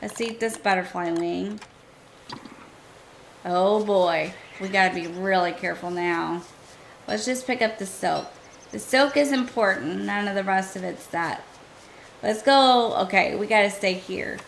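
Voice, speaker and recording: A woman streaming a survival game, chatting casually and thinking aloud to her viewers, her voice a little hoarse. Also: mid-range voice, a little slow, a little hiss or hum, poor audio. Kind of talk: casual gameplay commentary.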